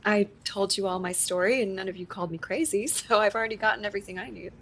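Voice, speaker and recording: A woman's voice: mid-range voice, steady tone, quick pace, fairly bright tone, lightly acted. A woman acting in an audio drama as a curious, grateful newcomer to a support group, tentative and questioning. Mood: grateful, relieved. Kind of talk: conversational audio drama acting, live recording. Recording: live recording with faint background noise.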